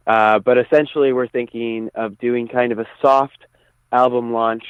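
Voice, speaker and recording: A man's voice: mid-range voice, steady tone, normal speed, smooth, dry recording. A man chatting as an interview guest over the phone, choosing his words thoughtfully. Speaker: musician guest. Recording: tinny narrow phone line.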